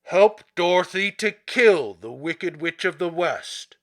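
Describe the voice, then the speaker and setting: A man voicing a terrible, gruff beast in a storybook reading, growling out slow, commanding words. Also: sits high, animated, pitched above the performer's own voice, exaggerated voice. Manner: slow, loud, growling delivery. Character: gruff terrible beast, a wizard's fearsome shape.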